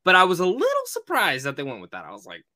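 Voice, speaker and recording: A man's voice: high-pitched, wildly swooping pitch, fast, sharp tone, no room sound. A man hosting a casual film review podcast, speaking informally and emphatically, often repeating phrases for stress.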